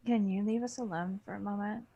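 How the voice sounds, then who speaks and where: A woman playing a grateful, earnest young heroine in a romance story, speaking softly. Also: medium pitch, steady tone, a little fast, breathy edge, muffled recording.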